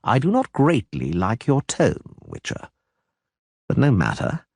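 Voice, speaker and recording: A man voicing a quiet, measured and powerful male spymaster with veiled menace, in an audiobook reading. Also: medium pitch, huge pitch swings, gravelly, breathy edge, no room sound, studio quality, voice pushed up, full character voice. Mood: calm, veiled threat.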